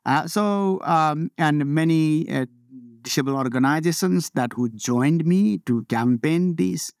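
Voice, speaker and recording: A man from Nepal, a mountaineer, answering interview questions in a casual, halting way with many fillers and restarts. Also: on the high side, darkish tone, no room sound, studio quality.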